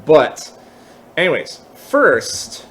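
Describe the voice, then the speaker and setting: Man with lively pitch walking through a tutorial. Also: drawn-out words, a little hiss or hum. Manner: thinking aloud, openly tentative about details. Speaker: tutorial presenter. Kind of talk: software tutorial walkthrough, informal explanation.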